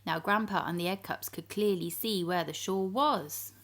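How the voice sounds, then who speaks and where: A woman reading a storybook to young children in an animated, expressive manner, addressing her listeners directly. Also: fairly low pitch, normal speed.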